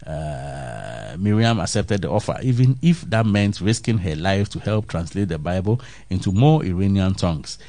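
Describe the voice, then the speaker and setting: A man's voice: sits low, sing-song, normal speed, few pauses, dark tone, dry recording. A man reading a faith news story aloud, then musing on it in an earnest, reflective way with restarts and fillers.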